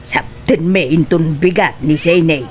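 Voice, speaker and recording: A woman playing a cheery, doting mother of grown children in a comedic radio drama, with drawn-out delivery. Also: low-pitched, a little mellow, a little hiss or hum. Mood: cheerful. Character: cheery, doting mother of a returning overseas worker.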